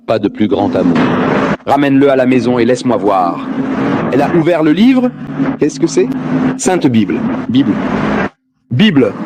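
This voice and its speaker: A man's voice: medium pitch, very noisy. A man giving a public lecture on religion, asking the audience rhetorical questions and joking as he goes.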